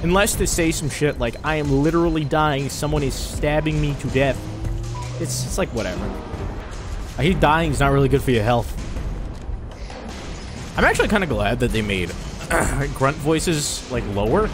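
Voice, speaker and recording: A man giving loud, crude, excitable commentary while he plays a video game, voice going hoarse. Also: fairly high pitch, normal speed, pauses often. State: hoarse, losing his voice. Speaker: gamer streaming gameplay. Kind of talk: casual gameplay commentary. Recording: game music and sounds behind.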